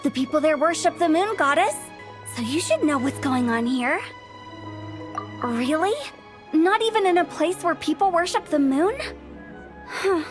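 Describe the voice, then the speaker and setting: A woman's voice: high-pitched, expressive, unhurried, long pauses. An actress playing a curious, inquisitive young woman in a fantasy video game, musing aloud. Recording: music behind the voice.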